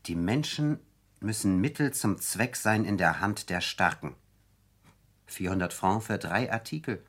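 Low-voiced, ambitious young writer. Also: male voice, lively intonation, measured pace, a bit bright, heavily acted. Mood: calculating. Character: ambitious young male writer, proud and impressionable. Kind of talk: radio drama acting.

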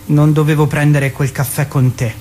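A man acting a higher-voiced, guarded, anguished teacher. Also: level tone, moderate pace, a little mellow, slight background noise, lightly acted. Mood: terse, evasive. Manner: guarded, pedantic, melancholy. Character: rigid, anguished male substitute teacher in a secret affair. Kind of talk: radio play dialogue.

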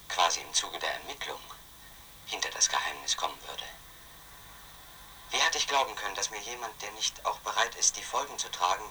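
An obsessed, brooding man tells his story in a radio drama. The voice is lowish and very forceful, intense and self-questioning, over faint noise. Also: exaggerated voice, poor audio. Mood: grim, resolved. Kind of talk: first-person radio play narration.

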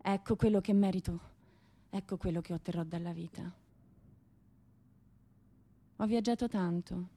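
An actress playing a proud, rebellious woman poet in an intense, declamatory theatrical monologue that swells and hushes. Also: on the low side, unhurried, pauses often. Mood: wistful, brooding.

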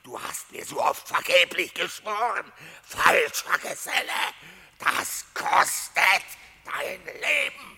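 Vengeful wounded wolf, gravelly, harsh bright rasp, slow heavy delivery, radio play. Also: male voice, high voice, big pitch swings, heavily acted. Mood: furious. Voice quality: gravelly, raspy. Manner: growling threats. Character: vengeful wounded male wolf, grim and brutal.

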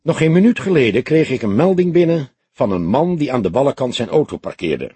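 A man's voice: mid-range voice, sing-song, brisk, warm tone, husky, no room sound, voice pushed up. A man voicing a dutiful police desk commander in an audiobook, reporting matter-of-factly. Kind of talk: audiobook character voice.

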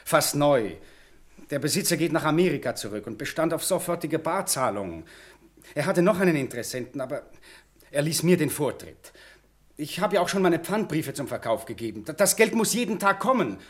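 A man's voice: on the high side, very animated, a little fast. A man acting in a German radio play as a feckless junior bank cashier. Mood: defensive, flustered. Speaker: radio drama actor.

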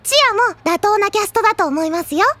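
A woman's voice: very high-pitched, quick pace, bright, forceful tone. An actress playing a perky, childlike junior schoolgirl in an audio drama, talking in a cutesy, polite, chirpy way. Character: perky, childlike younger schoolgirl, fawning and teasing. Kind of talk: Japanese audio drama, anime-style acting.

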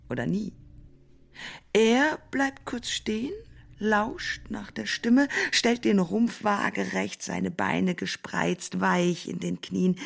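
A woman telling a scripted radio-drama story in a deliberate, suspenseful manner, with music behind her. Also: lowish voice, gravelly, husky, exaggerated voice. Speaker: narrator.

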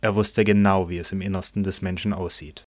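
A man narrating a scripture audio drama in a measured, solemn manner from a script. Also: low-pitched, level tone, no room sound, studio quality.

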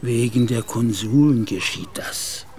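A man playing an anxious, aggrieved Turkish gendarme in a radio drama, speaking in a halting, pleading way. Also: medium pitch, darkish tone, natural voice. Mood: furtive, fearful. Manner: furtive, pleading, halting delivery. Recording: clearly noisy background.

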